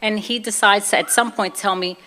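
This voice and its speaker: A woman's voice: mid-range voice, fairly even intonation, fairly quick, pushed and bright. A woman with a Brooklyn accent giving an informal personal talk, telling her story conversationally and acting out bits of dialogue. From Brooklyn, New York, USA.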